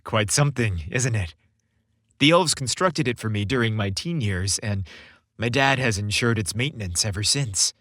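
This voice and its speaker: A man's voice: on the low side, expressive, normal speed, fairly bright tone, no echo, clean studio sound. A man voicing an earnest, proud young researcher in a storybook romance, wholehearted in manner.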